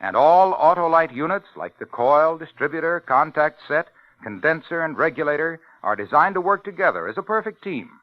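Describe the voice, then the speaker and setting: A man's voice: a little high, expressive, moderate pace, no echo. A man delivering a scripted 1950s radio commercial as an announcer, in an emphatic salesman's manner. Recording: dull 1950s radio broadcast recording.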